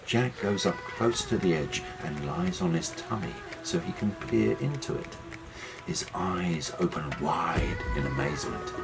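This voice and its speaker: A storyteller narrating a children's tale in a gentle, measured and expressive way, with music behind the voice. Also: sits low, gravelly, low-quality recording.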